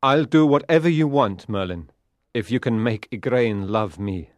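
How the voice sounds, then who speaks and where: A man voicing a lovesick male king who speaks eagerly and excitedly, in a storybook reading. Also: mid-range voice, moderate pace, no echo, lightly acted.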